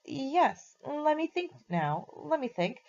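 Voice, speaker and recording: A woman voicing a gentle talking horse who speaks slowly and thoughtfully, a little confused. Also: sits high, big pitch swings, dull audio, voice pushed up, heavily acted. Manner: slow, thoughtful, hesitant.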